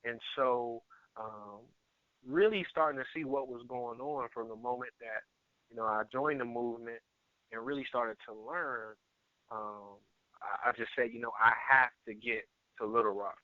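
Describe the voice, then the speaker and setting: An earnest man speaking as a guest on a conference call, halting and repeating words as he gives a testimonial over a telephone line. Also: mid-range voice, dry recording. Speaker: guest, network marketer and area manager. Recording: tinny phone line, narrow band.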